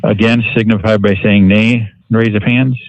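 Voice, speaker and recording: A man chairing a county board meeting in formal procedural language, with a somewhat halting delivery. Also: lowish voice, little pitch movement, no echo, rough recording.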